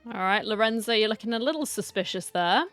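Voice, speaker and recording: A woman's voice: mid-range voice, quick pace, fairly bright tone, dry recording, faint music behind. Casting: female game streamer, mid-range voice, irreverent off-the-cuff chat, very dry recording. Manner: chatty, irreverent. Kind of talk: casual playthrough commentary.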